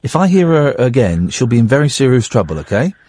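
A man in his forties hosting a radio phone-in, chatting casually and teasing a young caller in a deadpan way. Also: mid-range voice, fast talker, slight background noise. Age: 40s.

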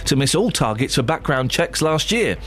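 Jokey London radio host, lively pitch. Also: male voice, moderate pace. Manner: jokey, teasing, reads listener texts. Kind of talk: casual breakfast radio chat. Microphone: studio microphone.

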